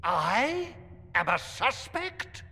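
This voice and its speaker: An actor playing a pompous, hostile church chancellor in a video game, scornful, imperious and accusing. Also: male voice, high-pitched, sing-song, drawn-out words, gravelly, pushed and bright, breathy edge, heavily acted. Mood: indignant, accusing. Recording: echoey stone hall, noise and ambience.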